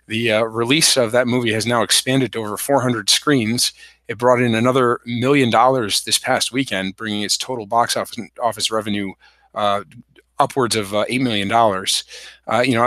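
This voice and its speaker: Host, male, hoarse from a cold, lowish pitch, bright tense tone, dry sound, halting explainer with lots of ums. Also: no room sound. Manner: many fillers and restarts, explanatory. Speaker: podcast host. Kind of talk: solo legal explainer livestream.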